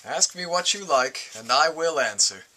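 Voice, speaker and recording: A man performs a bewildered, grieving philosopher in exile. The voice is fairly high and strikingly sharp, moving up and down dramatically as he gives an earnest, formal reading. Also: lively intonation, moderate pace, heavily acted, low-quality recording. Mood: earnest, sure. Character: grieving, bewildered male prisoner-philosopher, narrating his visitation.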